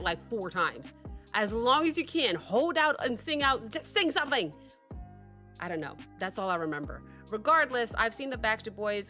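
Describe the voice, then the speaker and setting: Excitable woman host, bright voice, recovering cold, soft music underneath. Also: medium pitch. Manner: chatty and excitable, rambling with fillers and restarts. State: sick with a cough, recovering voice. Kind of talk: casual chat to camera.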